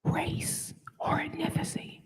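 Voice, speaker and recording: A woman's voice: takes it slowly, in a whisper. A woman in her sixties, from New York, speaks at a community forum with passion and conviction. She works the room with rhetorical questions and draws the audience in. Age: 60s. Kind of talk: public speech at a community forum.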